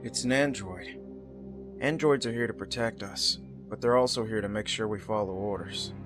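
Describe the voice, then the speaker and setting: A man's voice: lowish voice, moderate pace, over music, natural voice. A man playing an earnest, newly awakened engineered angel soldier in a visual novel game. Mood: wary. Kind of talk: visual novel voice acting, inner monologue and dialogue.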